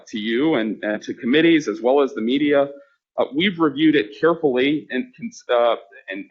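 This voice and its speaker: A man, a school district official, presenting to a board in a measured way, with frequent fillers and restarts. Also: medium pitch, dull audio.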